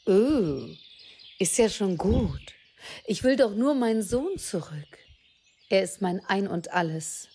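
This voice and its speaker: A woman's voice: mid-range voice, very animated, slow, dark tone. An actress playing a worried, pleading mother in a radio play. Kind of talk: hobby German radio play acting. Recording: faint noise with birdsong.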